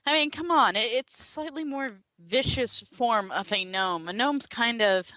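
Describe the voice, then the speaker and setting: A woman's voice: on the high side, unhurried, bright, forceful tone, no room sound. A woman chatting informally and playfully as a podcast panelist. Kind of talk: casual chat.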